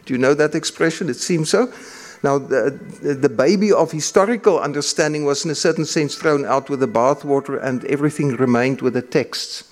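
Halting Afrikaans-speaking male lecturer. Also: fairly high pitch. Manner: measured, halting with frequent fillers and restarts. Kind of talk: academic lecture.

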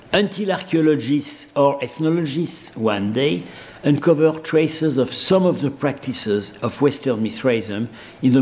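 A man with a Persian accent giving an academic seminar lecture, halting often with fillers and restarts. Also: medium pitch, a little mellow.

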